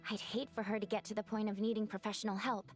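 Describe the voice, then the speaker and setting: A woman voicing a calm, gentle young woman in a game, speaking in a thoughtful way over soft background music. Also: medium pitch, quick pace, natural voice. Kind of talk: game voice acting.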